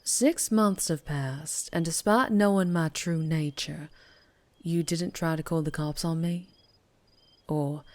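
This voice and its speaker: Casting: woman to play a sultry Southern US female vampire biker for ASMR roleplay; low register, unhurried, teasing and guarded. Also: no room sound. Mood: wry, wary. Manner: slow, low, teasing, lapsing into breathy whispers. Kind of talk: ASMR roleplay, scripted character acting.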